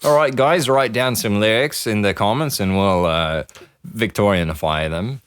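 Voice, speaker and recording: A man's voice: lowish voice, moderate pace, a bit bright, clear, resonant tone. A young man co-hosting a comedy podcast, joking around while trying to keep the talk on track. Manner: jokey, keeps steering the talk back on track. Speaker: podcast co-host. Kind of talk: improvised comedy podcast banter.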